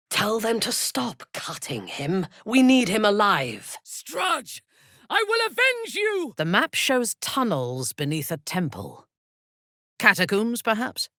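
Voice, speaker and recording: Imperious husky warrior zealot woman for a game, cold and menacing, close dry sound. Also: low voice, fairly bright tone, no room sound, full character voice. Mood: fierce battle cries. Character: ruthless, haughty female warrior zealot, coldly commanding. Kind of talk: video game character voice lines.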